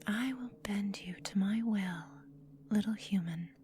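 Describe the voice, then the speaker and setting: Woman playing a slow, whispering, possessive vampire mistress. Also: medium pitch, mellow, a little hiss or hum, natural voice. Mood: menacing, possessive. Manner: slow, breathy, deliberate, coolly domineering. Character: possessive, menacing female vampire mistress, calm but simmering. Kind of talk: whispered ASMR roleplay.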